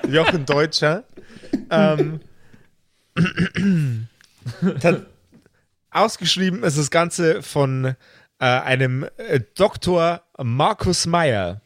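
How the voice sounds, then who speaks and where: Higher-voiced man in a dry studio sound. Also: very slow, pauses often, dry recording. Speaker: game master of a role-play podcast. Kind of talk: casual explanatory chat.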